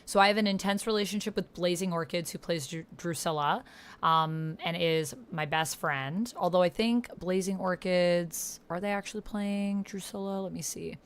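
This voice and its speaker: Low, clear-voiced woman chatting through a game. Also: normal speed. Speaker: host and player of an online text roleplaying game. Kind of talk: casual explanatory chat while playing, with some reading aloud.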